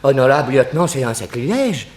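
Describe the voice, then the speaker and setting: An actor playing an obsequious, indignant Chinese undertaker, ceremonious and protesting, in a 1960s French radio crime drama. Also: male voice, mid-range voice, big pitch swings, brisk, lightly acted. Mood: polite indignation. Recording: echoey old radio drama room.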